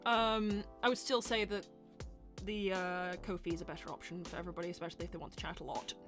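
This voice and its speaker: A woman's voice: lowish voice, steady tone, fast talker, over music. A woman hosting a casual livestream Q&A, reading out and answering viewer messages in an informal, chatty way. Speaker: host of a fan series livestream Q&A.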